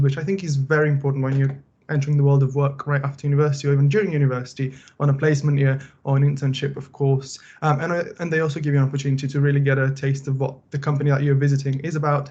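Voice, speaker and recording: A young man from Bulgaria, a final-year student, giving a relaxed, conversational talk with many fillers. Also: mid-range voice, highs cut off. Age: young adult. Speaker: student presenter. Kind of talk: casual presentation.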